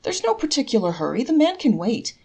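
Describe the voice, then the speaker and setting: A woman voicing a kindly, devout old male charity collector in a storybook folktale, with a gentle, earnest, coaxing manner. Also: a little high, big pitch swings, fairly quick, gravelly, slightly rough, pitched above the performer's own voice, exaggerated voice. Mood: coaxing, mild. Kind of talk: storybook character voice in a read-aloud folktale.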